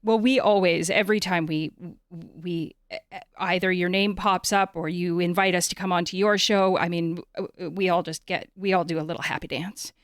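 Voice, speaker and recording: A woman's voice: fairly low pitch, fairly even intonation, normal speed, no room sound, clean studio sound. A woman interviewing in a casual chat, friendly, effusive and informal. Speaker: podcast interviewer.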